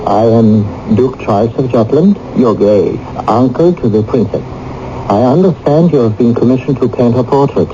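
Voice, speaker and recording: An actor playing a pompous, scheming noble duke in 1980s radio drama, with a smooth, formal, insinuating manner. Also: male voice, mid-range voice, lively intonation, normal speed, mellow, a little hiss or hum, lightly acted. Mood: smoothly persuasive. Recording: dull vintage radio broadcast.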